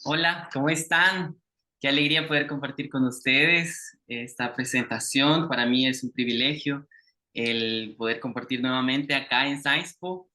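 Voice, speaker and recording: A man's voice: medium pitch, normal speed, sharp tone. A man from El Salvador with a Salvadoran Spanish accent, giving a formal, earnest prepared presentation as an invited speaker over a video call.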